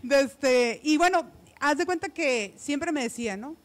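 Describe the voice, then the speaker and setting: A middle-aged woman with a northern Mexican accent, telling stories in a casual, animated, expressive way into a handheld microphone. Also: high voice, measured pace. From Ciudad Obregón, Mexico. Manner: animated, expressive, often quoting others. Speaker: interview guest, radio announcer. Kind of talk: casual chatty storytelling. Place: small decorated studio. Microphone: handheld microphone held close.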